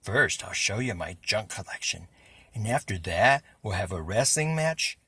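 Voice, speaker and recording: A man's voice: on the low side, sing-song, normal speed, pushed and bright, husky, dry recording, full character voice. A man voicing a boisterous young boy who eagerly plans spooky fun in a children's storybook. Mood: excited. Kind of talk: children's picture-book reading.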